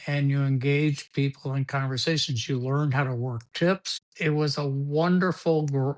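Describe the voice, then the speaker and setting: Older man, storytelling in his second language. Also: fairly high pitch. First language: Spanish. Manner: rambling, repeats words, laughs while telling stories. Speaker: guest. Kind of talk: storytelling interview.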